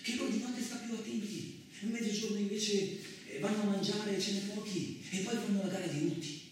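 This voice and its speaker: Halting, echoey migrant student, acted by a man. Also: high-pitched, fairly even intonation, far from the mic, voice pushed up, close to an everyday voice. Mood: conspiratorial. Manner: halting broken Italian, searching for words. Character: Polish student migrant labourer, male, grimly resigned, secretive. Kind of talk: radio theatre monologue acting. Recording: very echoey room, off-mic, some noise.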